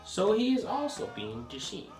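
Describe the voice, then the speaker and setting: Man playing a lively old husband storyteller, echoey. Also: high voice, normal speed. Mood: storytelling. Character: rambling old spouse storyteller, mischievous and blunt. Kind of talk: amateur comic storytelling. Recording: echoey room, music behind.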